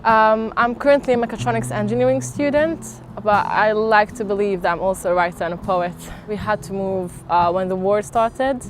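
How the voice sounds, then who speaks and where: A woman's voice: medium pitch, steady tone, moderate pace, hardly any pauses. A young woman who grew up in Bosnia and whose first language is Bosnian, speaking hesitantly with many fillers in a documentary interview. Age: young adult. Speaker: student interviewee. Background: music.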